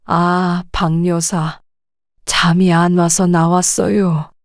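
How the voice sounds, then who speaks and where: A woman acting an older widowed grandmother who speaks softly, weary and restrained. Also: lowish voice, little pitch movement, takes it slowly, no echo, clean studio sound. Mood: troubled. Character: widowed grandmother, gentle and troubled. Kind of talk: audio drama acting.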